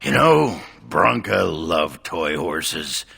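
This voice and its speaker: An actor playing a gruff, boozy, crude dwarf warrior in a video game, rambling with a very gravelly rasp. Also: male voice, sits low, sing-song, very slow, sharp tone, exaggerated voice. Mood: boozy musing. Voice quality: very gravelly, rasping. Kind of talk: video game character acting.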